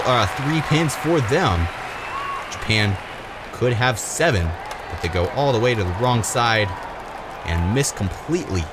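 A man giving play-by-play sports commentary on a race. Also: medium pitch. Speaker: sports commentator for marble races. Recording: loud crowd noise behind commentary. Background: loud crowd and ambient noise.

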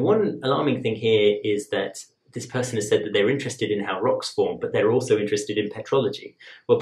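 A man, an admissions tutor calmly explaining to camera in a measured, conversational way. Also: mid-range voice. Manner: measured, conversational, rhetorical asides. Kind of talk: explaining to camera, advice lesson. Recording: roomy echo.

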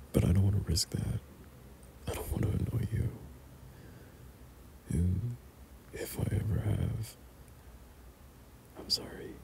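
Man playing a low, gravelly, tender boyfriend. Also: slight background noise, heavily acted. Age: young adult. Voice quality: breathy, often half-whispered, gravelly. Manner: slow, hesitant, soft chuckles between phrases. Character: tender, affectionate young boyfriend talking to his partner. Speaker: audio roleplay performer. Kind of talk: boyfriend ASMR roleplay, intimate and improvised.

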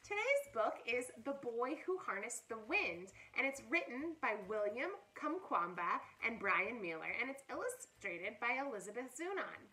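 An American woman in her thirties hosting a children's storytime with animated enthusiasm, presenting and reading aloud in a room at home. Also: fairly high pitch, normal speed, hardly any pauses, rough recording. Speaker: camp director hosting a children's story corner. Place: small furnished room at home, indoors.

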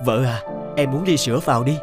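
A man's voice: medium pitch, brisk, natural voice. An actor playing an earnest, considerate man in a moral cartoon drama. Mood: gently reassuring. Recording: music under the voice.

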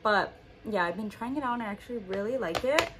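Young woman, twenties, bright-pitched, chatty upbeat vlog talk, faint home hiss. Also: lively intonation, fast talker. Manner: chatty, upbeat, lots of "like" and "just". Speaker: lifestyle vlogger. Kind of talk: casual vlog chat to camera. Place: home living room and bedroom.

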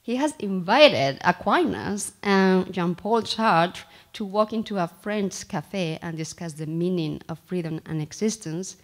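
Woman, 30s, formal introducer, low-pitched, measured and slightly halting, podium mic. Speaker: faculty member introducing the speaker. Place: small lecture room, indoors. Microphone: podium microphone.

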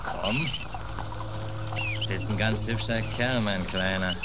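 A man's voice: lowish voice, slow, loud music behind the voice. A man playing a hungry, penniless drifting sailor in a 1940s radio drama, wry, muttering and colloquial.

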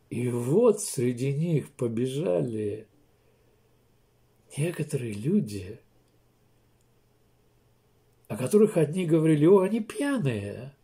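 An older man, a pastor, preaching a sermon slowly and emphatically from a small room, with pauses and restarts. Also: a little high, mellow. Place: small room indoors, seated in armchair.

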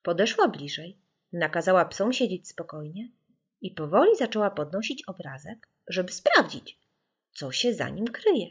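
A woman narrating a children's audiobook in a suspenseful, theatrical way with deliberate dramatic emphasis. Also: lowish voice, very animated, breathy edge.

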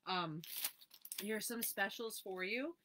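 A woman's voice: medium pitch, slow pace, background noise. A woman hosting a live needlework sale, reading out item numbers and prices and chatting with viewers by name. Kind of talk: live sales presentation, casual chat.

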